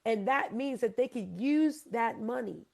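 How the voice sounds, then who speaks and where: A woman's voice: on the high side, measured pace, creaky, crowd noise. A woman from Hawaii hosting a casual debate, talking in a rambling, emphatic way and often putting questions to her listeners. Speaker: host.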